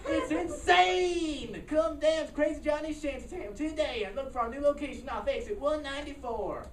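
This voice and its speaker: A woman's voice: high-pitched, moderate pace. A woman performing a crazy, manic salesman in a parody store commercial. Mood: manic, pushy. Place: staged reading in a room. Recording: echoey, noisy room, distant mic.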